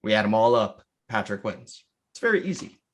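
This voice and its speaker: A man's voice: lowish voice, very animated, fairly quick, a bit bright. A man co-hosting a podcast, bantering casually and arguing his point. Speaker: podcast co-host.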